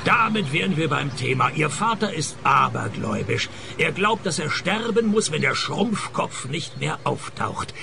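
A man acting a wry, deadpan private detective in a radio play. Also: fairly high pitch, measured pace, vocal fry, background noise, exaggerated voice. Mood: matter-of-fact accusing. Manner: dry, deadpan. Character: wry, deadpan private detective narrating his own cases.

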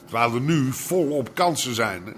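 A man's voice: medium pitch, expressive, normal speed, a little hiss or hum, lightly acted. A man playing a tough, proud older crime boss in a radio drama, blunt and colloquial. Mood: blustering. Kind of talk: naturalistic Dutch radio drama acting.

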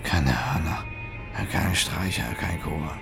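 Tiefer, bedächtiger, müder Erzähler. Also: male voice, extremely low pitch, takes it slowly, creaky, full character voice. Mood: sardonic. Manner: clipped fragments, dry, sardonic. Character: weary hungover young man narrating his night. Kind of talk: radio drama monologue. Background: music.

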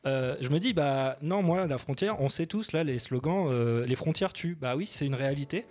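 A man's voice: a little high, animated, normal speed, darkish tone. A man on a radio debate show, politely asking to reply and then arguing with sympathy and many hesitations. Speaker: debate participant.

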